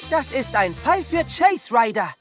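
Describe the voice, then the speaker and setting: An actor voices an earnest young cartoon puppy, willing but a bit unsure. The voice is high and childlike, with music clearly behind it. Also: very animated, a little slow, fairly bright tone, exaggerated voice. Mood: eager. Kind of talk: children's cartoon audio drama dialogue.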